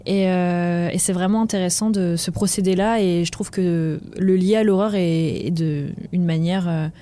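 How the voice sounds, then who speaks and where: A woman film journalist explaining her view in a podcast, thinking aloud with many pauses. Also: on the low side, steady tone, warm tone. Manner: thoughtful, many fillers. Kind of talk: podcast discussion.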